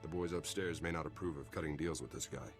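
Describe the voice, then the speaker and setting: An actor playing an easygoing, loyal military captain in a video game, casual, friendly and a little wry. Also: male voice, extremely low pitch, quick pace. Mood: relaxed, confiding. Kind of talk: video game cutscene acting. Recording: game soundtrack music behind.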